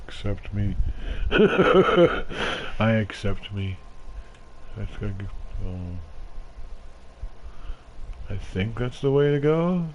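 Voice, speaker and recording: Male gamer, middle-aged, lowish voice, loose self-deprecating rambling, faintly noisy home mic. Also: very animated, extremely slow, pauses often. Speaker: gaming streamer. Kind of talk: rambling solo commentary over gameplay. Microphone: home gaming mic turned up. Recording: noisy home microphone with turned-up gain.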